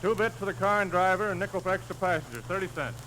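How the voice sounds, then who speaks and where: A man's voice: sits high, fast, lightly acted, rough recording. An actor playing an unbothered, businesslike male toll-bridge keeper in a 1930s radio comedy, speaking matter-of-factly. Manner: matter-of-fact.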